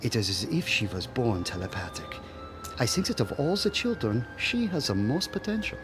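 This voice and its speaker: Casting: a man playing a worried scientist, formal and hesitant, mid pitch with wide swings, radio play. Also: sing-song, normal speed, lightly acted. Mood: worried, earnest. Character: earnest European scientist visitor, male, worried and apologetic. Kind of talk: vintage-style dramatic radio acting. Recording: music under the voice.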